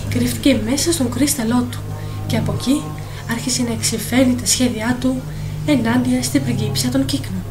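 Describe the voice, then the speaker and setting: A woman narrator reading a dramatic, scripted fairy tale for an animated film. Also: on the high side. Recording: voice over clear film music.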